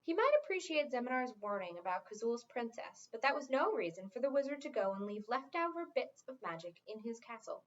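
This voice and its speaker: A woman reading a fantasy novel aloud as narrated fiction. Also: a little high. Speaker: reader. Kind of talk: audiobook-style read-aloud of a fantasy novel. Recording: dull, lo-fi sound.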